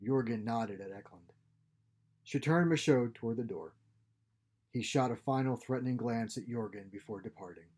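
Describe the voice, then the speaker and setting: A man narrates a science fiction short story in a mellow, dark-edged voice at medium pitch. The delivery is scripted, like an author reading an audiobook. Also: moderate pace, breathy edge.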